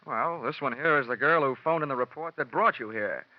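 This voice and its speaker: An American man playing a wry, deadpan hardboiled insurance investigator in a 1950s-style radio detective drama. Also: mid-range voice, brisk, no room sound, natural voice. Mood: wry, defensive. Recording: muffled old radio broadcast.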